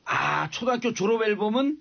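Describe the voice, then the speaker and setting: A man's voice: sits high, expressive, a little fast, vocal fry. A man hosting a radio talk show, playful and joking, teasing his guests. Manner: joking, playful, reads listener texts aloud. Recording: dull studio sound.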